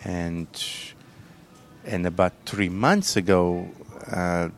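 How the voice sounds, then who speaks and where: Deep-voiced Italian-accented man, halting. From southern Italy. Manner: halting, unhurried, many fillers and restarts. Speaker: chef and restaurant owner, guest. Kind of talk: relaxed storytelling in a radio interview.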